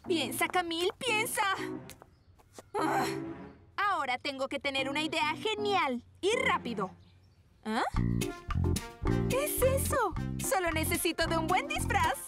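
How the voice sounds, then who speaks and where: A woman's voice: extremely high pitch, big pitch swings, a little slow, pauses often, full character voice. A woman voicing a haughty, scheming teenage model girl in a children's cartoon, dramatic and self-important, with a Latin American accent. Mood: scheming, evasive. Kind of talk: dubbed children's cartoon acting. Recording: music behind the voice.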